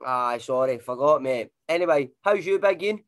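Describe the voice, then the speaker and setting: A woman's voice: extremely low pitch, expressive, moderate pace. An actress playing a chatty, blunt, sweary Glaswegian woman with slangy matey talk in an audio comedy. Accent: Glaswegian Scottish.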